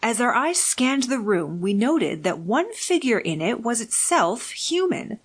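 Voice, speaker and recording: A woman narrating a fiction audiobook in a measured, deliberate, scripted reading. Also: fairly high pitch, sing-song, husky.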